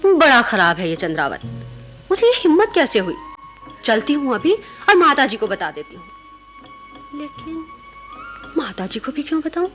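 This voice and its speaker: An actress playing a devout young woman in a radio play, gentle but spirited, with an earnest, expressive delivery. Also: high-pitched. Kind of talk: Hindi radio play acting. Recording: old radio play recording, faint music.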